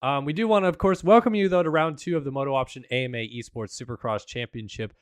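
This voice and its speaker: A man calls an esports race in an upbeat announcer manner, reading through sponsors and plugs. His voice is still recovering after he lost it. The sound is dry and close, with an average pitch for a man. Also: quick pace, clean tone, no echo. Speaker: play-by-play commentator.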